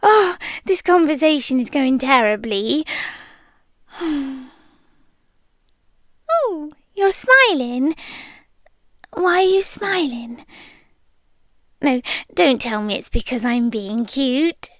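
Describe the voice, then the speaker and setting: Slow, high, softly whispering British monster girl played by a woman. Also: expressive, no echo, studio quality, heavily acted. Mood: flustered, mock indignant. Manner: soft, often whispered, awkward and hesitant. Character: shy, anxious, lovestruck female under-bed monster. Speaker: ASMR roleplay performer. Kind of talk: intimate ASMR girlfriend roleplay.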